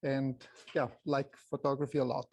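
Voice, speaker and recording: A soft-voiced man with a Swiss accent presenting an informal photography lecture to a group, in halting phrases with many pauses. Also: mid-range voice, level tone, gravelly, slightly rough. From Switzerland.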